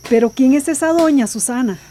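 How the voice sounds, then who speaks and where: A worried, devoted mother played by an actress in a radio serial, with a high, mellow voice. She has a Central American accent and pleads in homely, emotional speech, over light background noise. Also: a little fast, close to an everyday voice. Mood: stern, wary. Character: anxious devoted mother searching for her missing daughter. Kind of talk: radio drama acting.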